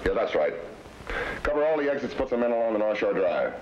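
An actor playing a tough, insistent police detective in a 1950s TV crime drama, with a terse, authoritative way of talking. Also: male voice, on the low side, sing-song, quick pace, darkish tone. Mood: decisive. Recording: muffled, noisy old TV soundtrack.

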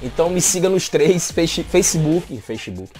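A man's voice: fairly high pitch, animated, normal speed, a little mellow, faint music behind. A young adult Brazilian man talking casually as the host of a fan dubbing vlog, recorded indoors at home. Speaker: YouTube host and fan dubber. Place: small indoor home room.

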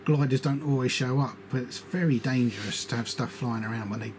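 A man's voice: mid-range voice, brisk, darkish tone. A man giving casual, grumbling and opinionated running commentary as an aviation hobbyist. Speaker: aviation hobbyist narrating radio scanner and flight tracker. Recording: radio and ambient noise behind voice.

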